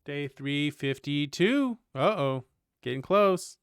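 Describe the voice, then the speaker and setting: A man's voice: fairly high pitch, lively intonation, slow, clean tone, dry recording, studio quality. A man live-streaming a video game, making casual, offhand asides as he comments on what is playing. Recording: game music behind the voice. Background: video game music.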